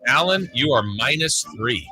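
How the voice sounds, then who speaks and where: Bright, forceful male radio host with husky edge. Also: mid-range voice, lively intonation, unhurried, no room sound, faint music behind, low-quality recording. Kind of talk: lively sports talk radio banter, running a quiz game.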